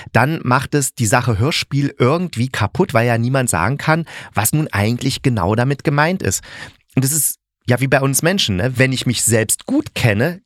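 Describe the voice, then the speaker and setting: A man's voice: mid-range voice, no room sound. Middle-aged man, casual podcast review, dry sound. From East Germany. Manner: colloquial, blunt, thinks aloud with self-corrections. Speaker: podcast host, audio drama reviewer. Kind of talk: casual opinionated review monologue.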